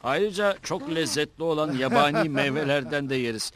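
Mild, higher-voiced young cartoon shepherd. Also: male voice, lively intonation, a little fast, a bit bright. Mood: agreeable. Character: mild, good-natured young shepherd brother. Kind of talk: animated film dubbing.

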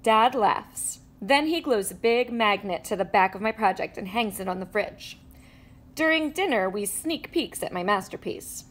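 A woman reading a children's picture book aloud with animated storytelling and playful sound effects. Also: medium pitch, normal speed. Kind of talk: expressive read-aloud. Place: indoors at a table with a book.

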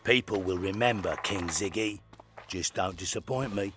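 An actor playing a boastful, scheming fight promoter in a video game, with a grandiose way of talking. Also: male voice, low voice, normal speed, background noise, lightly acted. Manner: grandiose, speaks of himself in third person.

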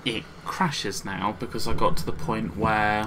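A man's voice: fairly low pitch, steady tone, a little slow, noisy background. A Scottish-born man with an English accent co-hosting a let's play, teasing with dry jokes. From Scotland. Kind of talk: casual gameplay commentary.

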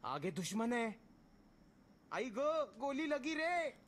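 A man's voice: very high-pitched, sing-song, takes it slowly, a bit bright, heavily acted. A man voicing a brash, street-smart gamer for a game voice pack, with a Mumbai accent and exaggerated slang swagger. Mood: brash, urgent. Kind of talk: game voice pack one-liners.

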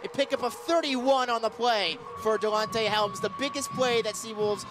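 Male radio play-by-play announcer, high-pitched, excitable football caller who draws out the big moments. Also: normal speed, over music. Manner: excitable, shouts and stretches words on big plays. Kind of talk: live football play-by-play commentary. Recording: live radio sports broadcast.

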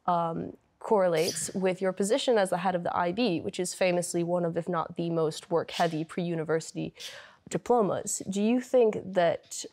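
Young woman student hosting a panel, lowish voice, asks long careful questions. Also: darkish tone. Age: young adult. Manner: articulate, carefully phrased questions. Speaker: student co-host asking questions. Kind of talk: panel discussion. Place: indoor library room with bookshelves.

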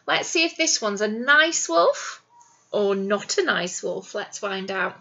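A middle-aged woman, a primary teacher reading a picture book to young children in an animated, explanatory way with questions to them. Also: mid-range voice, slow pace, gravelly, muffled recording. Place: small indoor classroom. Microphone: webcam or device at arm's length.

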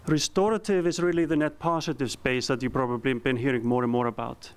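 A man in his thirties from Iceland with an Icelandic accent, giving a conference presentation with a handheld mic, earnest and halting with frequent ums. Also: medium pitch, fairly even intonation, a little hiss or hum. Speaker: company founder giving a presentation. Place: conference room.

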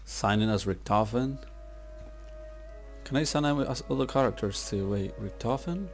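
A man's voice: mid-range voice, moderate pace, faint music behind. A man giving casual gameplay commentary in an awestruck, slangy way, peppered with dude and man. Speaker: gamer commentating his playthrough.

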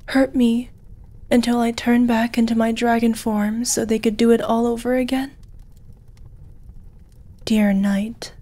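A woman voicing a gentle, seductive dragon lady in a fantasy ASMR roleplay, soft, breathy and half-whispered, with an intimate, tender manner. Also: fairly high pitch. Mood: tender, pleading.

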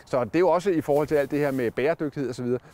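A man's voice: fairly high pitch, a little mellow. A man in his forties giving a casual product review on a campsite lawn, talking with many fillers and restarts into a lapel mic. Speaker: product tester.

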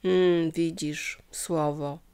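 A woman's voice: deep voice, steady tone, very slow, warm tone, smooth, full character voice. A woman voicing a sensible female giraffe in a children's story, matter-of-fact and chiding. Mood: questioning.

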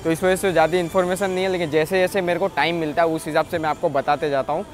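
A man's voice: a little high, fairly even intonation, racing. Chatty man vlogging from a bus. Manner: chatty, pointing things out to viewers, frequent fillers. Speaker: travel vlogger. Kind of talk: casual travel vlog narration. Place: on and around a long-distance bus in city streets.

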